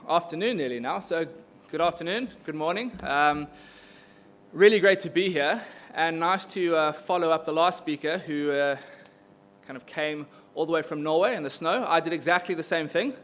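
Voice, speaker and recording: A man's voice: a little high, moderate pace, fairly bright tone. A man from South Africa moderating a live conference panel, talking hesitantly with many fillers and restarts. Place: conference stage.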